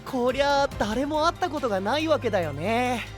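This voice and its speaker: Breezy, animated young guy. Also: very high-pitched, normal speed, music in the background. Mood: animated, explaining. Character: cheerful, easygoing young male guide to sea legends. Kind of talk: Japanese anime-style audio drama acting.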